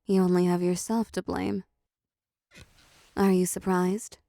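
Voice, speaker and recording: A seductive, possessive female demon played by a woman speaks slowly and closely in a dark, mellow voice with a creaky edge. She is teasing and controlling, doling out condescending pet names as in an intimate audio roleplay. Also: fairly low pitch, flat delivery, dry recording, clean studio sound. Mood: coaxing, menacing. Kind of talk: intimate roleplay audio drama, addressing the listener.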